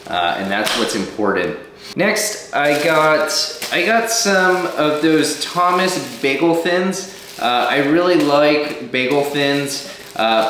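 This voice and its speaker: Guy chatting thru his grocery haul, lots of ums, super echoey room, bit of hiss. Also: medium pitch. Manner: relaxed, many ums and uhs. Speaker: fitness vlogger. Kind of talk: casual grocery haul walkthrough. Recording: very echoey room, faint noise.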